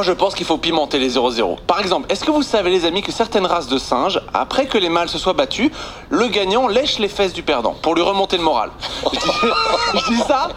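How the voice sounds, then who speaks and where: Sarcastic Belgian man, high voice. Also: a bit bright, a little hiss or hum. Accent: Belgian French. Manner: sarcastic, punchy joke delivery. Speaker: radio comedy columnist. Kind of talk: comic monologue on morning radio.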